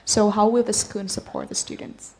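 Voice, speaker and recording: A woman's voice: medium pitch, huge pitch swings, moderate pace, vocal fry, a little mellow, low-quality recording. A woman moderating a webinar Q&A, politely reading out questions on a video call. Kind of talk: hosting Q&A.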